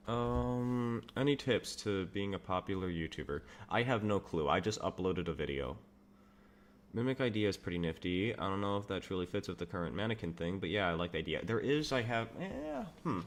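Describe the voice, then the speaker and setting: Young man, low voice, loud talker, casual stream chatter, light keyboard noise. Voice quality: says he has a loud voice. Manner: rambling, answers chat questions, self-amused. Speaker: game developer streamer. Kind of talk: casual live-stream chat while working.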